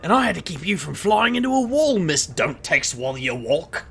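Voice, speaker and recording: A teasing friend in an audio drama, with a bright edge and noticeable fry. The delivery is droll and mock-dramatic, moving animatedly across a wide pitch range. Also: female voice, big pitch swings, normal speed, heavily acted.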